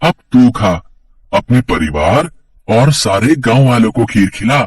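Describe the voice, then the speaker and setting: Man as a gentle child god, slightly distant. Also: mid-range voice, big pitch swings, measured pace, slightly rough, no echo. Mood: satisfied, benevolent. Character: child-form elephant god, gentle, coaxing and benevolent.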